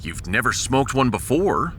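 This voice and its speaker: A man's voice: mid-range voice, sing-song, measured pace, a bit bright, slight background noise. A man playing a firm, decisive starship captain with a dry manner in a science fiction audiodrama. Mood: wry.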